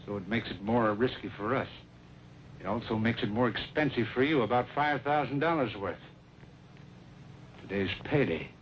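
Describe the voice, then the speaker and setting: An actor playing a cold, greedy American smuggling boss in an old western radio drama, curt and bossy. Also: male voice, medium pitch, moderate pace, pauses often, natural voice. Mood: hard, businesslike. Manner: curt, bossy, hard-boiled slangy talk. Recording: muffled, very noisy old radio recording.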